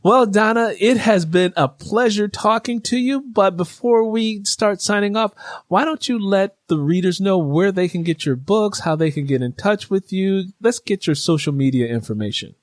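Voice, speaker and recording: A man's voice: high-pitched, moderate pace, no room sound. Male interview host with dry, close sound. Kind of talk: conversational interview hosting.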